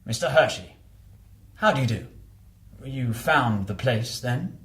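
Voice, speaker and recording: A man's voice: mid-range voice, expressive, slow, fairly bright tone, echoey room. Man playing a clipped, courteous colonel. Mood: genial, brisk. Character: well-built, genial but steely British colonel.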